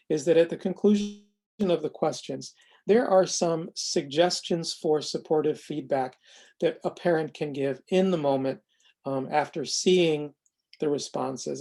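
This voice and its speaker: A presenter giving an explanatory software demonstration in a measured way, often pausing mid-sentence. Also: medium pitch. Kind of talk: semi-scripted explanatory demo narration.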